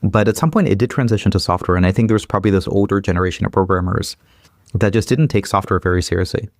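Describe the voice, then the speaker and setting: Interview guest: man in his 30s, fast and loose, low-pitched, dry close sound. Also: no echo. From Ukraine. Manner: quick, many fillers and repeated restarts. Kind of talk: casual interview chat.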